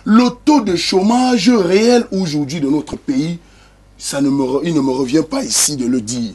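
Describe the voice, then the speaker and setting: A man, debating on a TV panel, starting out halting and growing emphatic. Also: on the high side, animated, crowd noise. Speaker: panel guest.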